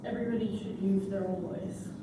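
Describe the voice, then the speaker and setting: A woman's voice: medium pitch, steady tone, a little fast. A woman self-advocate speaking in plain, short, hesitant sentences. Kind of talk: casual introduction. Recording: echoey room, distant mic, noisy.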